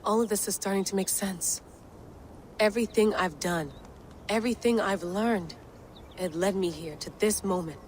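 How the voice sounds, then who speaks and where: A woman's voice: mid-range voice, normal speed, close to an everyday voice. Actress as determined, direct female field agent. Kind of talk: naturalistic game cutscene acting. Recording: faint ambient noise.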